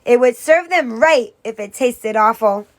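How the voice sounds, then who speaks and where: A woman's voice: on the high side, animated, unhurried. A woman voicing an eager, mischievous and put-upon little sister in a storybook, plaintive and scheming.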